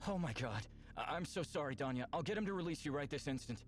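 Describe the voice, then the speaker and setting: Calm, reassuring young-hero type played by a man, music behind. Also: on the high side, quick pace, natural voice. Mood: alarmed, apologetic. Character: calm, reassuring man bonded to an alien symbiont. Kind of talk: visual novel voice acting.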